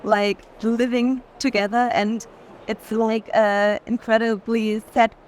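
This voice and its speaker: A woman in casual, reflective conversation about art, speaking haltingly with plenty of filler "like". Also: on the high side, flat delivery, a little mellow. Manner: halting, frequent use of "like". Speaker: curator in conversation. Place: art fair. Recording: noisy fair hall ambience.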